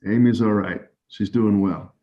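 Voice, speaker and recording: An actor playing a halting, evasive man in his forties in a dramatic 1930s scene. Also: on the low side, fairly even intonation, mellow, lightly acted. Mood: conciliatory. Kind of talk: dramatic two-person scene reading. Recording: dull lo-fi audio.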